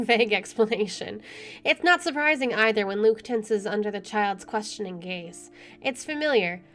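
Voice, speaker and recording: High-voiced woman narrating a game. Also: fairly bright tone. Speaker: let's player. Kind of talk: reading game narration aloud with casual commentary. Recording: faint music underneath.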